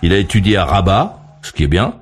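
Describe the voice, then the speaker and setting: A man's voice: low-pitched, sing-song, fast talker, a little hiss or hum. A man hosting a late-night call-in radio show, joking and rambling, laughing often as he talks with callers. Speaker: radio talk show host.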